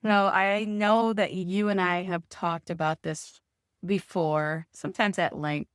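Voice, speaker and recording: A woman's voice: on the low side, steady tone, unhurried, smooth, no room sound, studio quality. A middle-aged woman co-hosting a podcast, telling her story in an earnest, reflective way. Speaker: podcast co-host. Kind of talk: casual chat.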